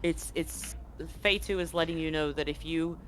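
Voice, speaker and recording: A man's voice: a little high, fairly even intonation, moderate pace, a bit bright, a little hiss or hum, rough recording. A man acting as game master, narrating a live tabletop roleplaying game and explaining things to players and audience.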